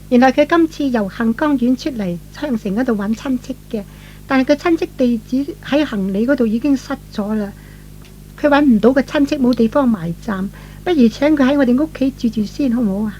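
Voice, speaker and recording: A man's voice: extremely high pitch, a little fast, darkish tone, lo-fi sound, a little hiss or hum, pitched above the performer's own voice. A man voicing a sweet, grateful young woman, a dutiful daughter, with a pleading, polite manner in a radio melodrama. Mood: polite, coaxing.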